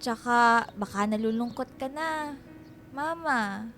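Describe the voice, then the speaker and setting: An actress playing an affectionate, cheeky younger sister who is still a student, in a girlish, expressive radio drama manner. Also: fairly high pitch, slow pace, soft background music. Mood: light, wistful.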